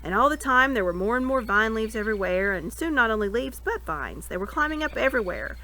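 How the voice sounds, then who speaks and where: Animated woman reading a storybook aloud. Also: a little high, a little fast. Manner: expressive, dramatic storytelling. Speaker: reader. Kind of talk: reading a children's fantasy novel aloud. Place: outdoors in a front yard. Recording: noisy outdoor amateur webcam recording.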